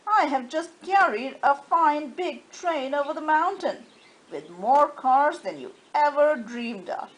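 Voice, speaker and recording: A woman's voice: high-pitched, animated, takes it slowly, poor audio. A woman voicing a proud, snooty male passenger train engine in a children's storybook, boastful and haughty. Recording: faint background noise.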